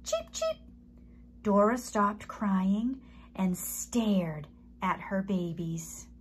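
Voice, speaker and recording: Slow, expressive woman reading a children's story. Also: mid-range voice, breathy edge. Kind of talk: children's story read-aloud.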